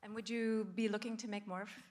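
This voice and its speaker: A woman's voice: medium pitch, little pitch movement, a little fast, darkish tone. A woman moderator interviewing a guest on a festival stage, asking appreciative questions.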